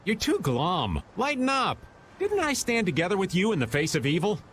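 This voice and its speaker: Cheeky old rogue, wildly swooping voice. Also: male voice, high-pitched, huge pitch swings, normal speed, fairly bright tone, a little hiss or hum, full character voice. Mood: teasing. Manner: folksy, joking, slangy. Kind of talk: video game voice acting.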